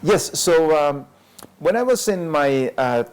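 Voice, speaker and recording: A man who is a lifestyle medicine doctor, telling his story as an interview guest in a relaxed way, with many fillers and frequent chuckles. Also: on the high side, slow, clear, resonant tone.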